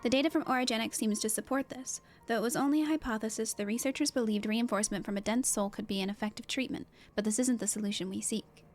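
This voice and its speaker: A woman voicing a concerned game character, reading grave dialogue in a measured, serious and sympathetic manner. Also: a little high, close to an everyday voice. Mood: earnest, thoughtful.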